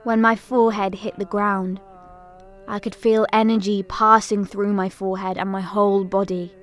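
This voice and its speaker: A woman's voice: medium pitch, little pitch movement, moderate pace, close to an everyday voice. A woman playing an earnest young pilgrim who narrates a family tragedy in a scripted audio drama.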